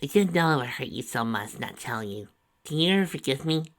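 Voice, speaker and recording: A woman voicing a worried mother pony who talks gently but firmly to her daughter. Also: extremely low pitch, animated, quick pace, pitched above the performer's own voice. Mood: gentle.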